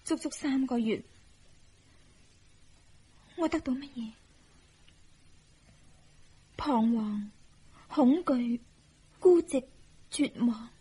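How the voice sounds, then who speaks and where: An actress with a Hong Kong Cantonese accent playing a young, devoted, self-sacrificing wife in a radio drama, plaintive and earnest. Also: female voice, high-pitched, drawn-out words, pauses often, warm tone, slightly rough, heavily acted. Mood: anguished, resigned.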